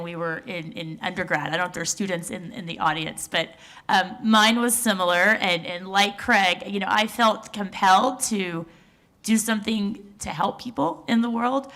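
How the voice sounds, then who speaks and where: A woman's voice: fairly low pitch, normal speed, a bit bright. A woman in her forties on a conference panel, telling her story in an earnest, reflective way with small fillers. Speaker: researcher on a panel. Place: conference stage.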